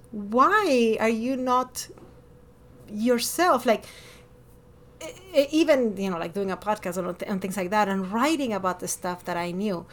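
Woman, Latin American Spanish accent, higher-pitched, animated, emphatic, casual podcast chat. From born in Miami, lived over a decade in Ecuador. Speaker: podcast host. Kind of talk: casual personal conversation.

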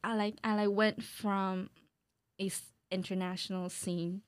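A young adult woman in a casual chat, speaking in a jokey, animated way and swearing freely. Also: medium pitch, a little slow, dry recording.

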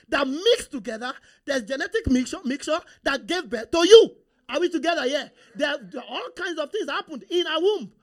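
A man with a Nigerian accent, Yoruba his first language, preaching a sermon. He talks in a fairly high voice and keeps throwing questions at the congregation, prompting them to answer and confirm they are following. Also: fairly bright tone. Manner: call-and-response, rhetorical questions to the congregation.

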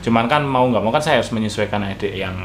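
A man from outside the capital with a thick Javanese-tinged Indonesian accent, chatting casually and thoughtfully, often pausing on fillers. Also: fairly low pitch. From Indonesian regions outside Jakarta. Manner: thoughtful, hesitant, many fillers. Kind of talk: casual chat. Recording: faint background noise.

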